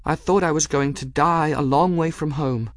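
Man voicing an earnest young woman, pitched up. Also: animated, normal speed, dry recording, studio quality, close to an everyday voice. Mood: tearful relief. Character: frightened, tender-hearted young woman, earnest.

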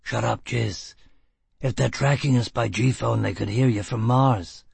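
A man voicing a gruff, blunt, stubborn escaped prisoner in a storybook reading, with terse, grunted lines. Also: mid-range voice, steady tone, measured pace, darkish tone, no echo, exaggerated voice.

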